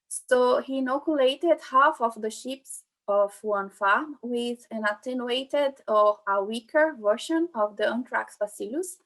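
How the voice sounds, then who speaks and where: A young woman from Brazil with a Brazilian Portuguese accent, giving an informal science talk online and pausing often with fillers and restarts. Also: on the high side, poor audio. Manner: hesitant, many fillers and restarts. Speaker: guest speaker, PhD student. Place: home room, online event. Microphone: computer mic on video call.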